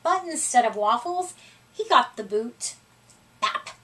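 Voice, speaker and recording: A woman reading a children's story aloud in an expressive, deliberate way, recorded at a distance from the microphone. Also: fairly high pitch. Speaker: storybook reader.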